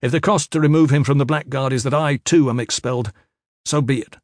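Man playing a cool, resolute young warrior, pitched up. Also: animated, normal speed, no room sound, clean studio sound. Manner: loud, clear, cool, unapologetic. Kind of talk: dramatised fantasy audiobook dialogue.

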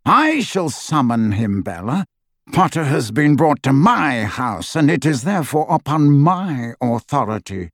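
A man's voice: mid-range voice, sing-song, measured pace, dry recording, studio quality. A man voicing an eager, haughty aristocrat. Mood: excited. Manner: eager, impatient.

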